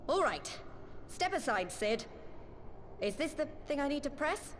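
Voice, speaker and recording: Slow, earnest young heroine. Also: female voice, high-pitched, big pitch swings, slight background noise. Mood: resolved. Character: earnest, plain-spoken young heroine, thoughtful and resolute. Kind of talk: video game cutscene acting.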